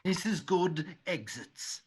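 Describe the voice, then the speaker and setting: A man's voice: high-pitched, lively intonation, slow, a little hiss or hum. Man reading stage directions plainly.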